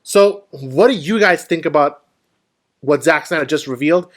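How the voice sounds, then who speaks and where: A man's voice: on the high side, moderate pace. A man hosting a casual film discussion, explaining his view and putting questions to the panel. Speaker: host of a film discussion show.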